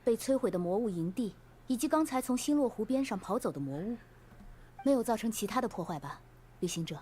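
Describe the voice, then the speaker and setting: A woman playing a composed, caring knight commander in an anime video game, speaking in a measured, authoritative but kind way. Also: a little high, animated, warm tone, slightly rough. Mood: composed, questioning.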